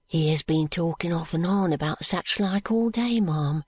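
A woman voicing a gentle, deferential poor woman in an audiobook, low, breathy and soothing. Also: lively intonation, slow pace, no room sound, studio quality, full character voice. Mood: soft, concerned. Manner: hushed, soothing. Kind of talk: audiobook character voice.

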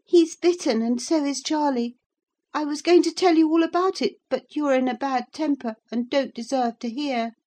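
A woman voicing a spirited, wilful thirteen-year-old girl who chatters and pouts. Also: close to an everyday voice. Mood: sulky. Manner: high, bright and quick.

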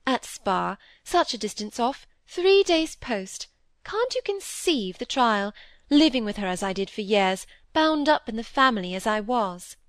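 A fluttery, self-pitying stepmother, put on by a woman reading aloud, her pitch leaping high as she gushes over her own delicate nerves. The voice is dry and close, with no room sound. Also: sing-song, unhurried, no room sound. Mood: gushing. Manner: affected, gushing, fluttery. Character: fussy, self-pitying middle-aged stepmother. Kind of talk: audiobook character reading.

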